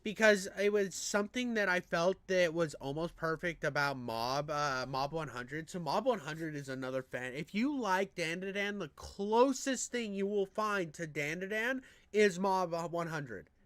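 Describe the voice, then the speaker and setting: A man with a high-pitched nasal voice, reacting to a video in crude, excitable casual commentary, often stammering and restarting. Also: a little slow, few pauses, dry recording. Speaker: reaction streamer. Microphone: on camera, desk mic.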